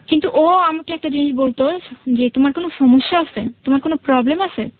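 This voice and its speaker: A woman's voice: high voice, fast talker, no room sound, narrow, tinny audio. A woman with a Bangladeshi accent telling her personal story as an interview guest, hesitating and repeating herself to explain. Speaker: radio show guest.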